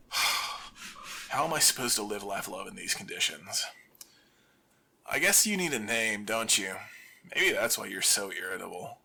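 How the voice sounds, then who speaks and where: A man performing a scripted audio drama monologue as a lone survivor hosting a radio show, with wry deadpan humor. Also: fairly low pitch, a little slow, vocal fry, pauses often, slightly rough.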